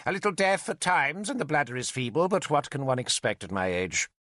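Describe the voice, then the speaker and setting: A man's voice: a little high, sing-song, fairly quick, bright, forceful tone, no room sound, clean studio sound, voice pushed up. A male narrator voicing an old, vain and cantankerous wizard who mocks and lectures in a sardonic way. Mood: teasing. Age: older. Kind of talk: audiobook character voice.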